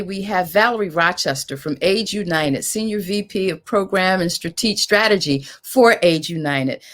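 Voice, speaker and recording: A woman's voice: medium pitch, fairly bright tone. A woman hosting a virtual panel discussion, speaking in an emphatic, conversational manner as moderator. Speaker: panel moderator, executive director.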